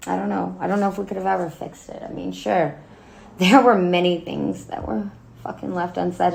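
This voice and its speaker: Gravelly, low-voiced bitter grieving daughter, acted by a woman. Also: slight background noise. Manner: halting, emotional, with wry laughs and swearing. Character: bitter, wounded grown daughter addressing her dead mother. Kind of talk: dramatic monologue cold read.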